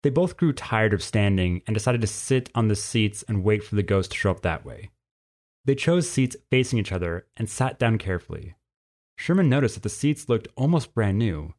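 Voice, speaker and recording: A man reading a scripted story as narrator, in an expressive way. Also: on the low side, moderate pace.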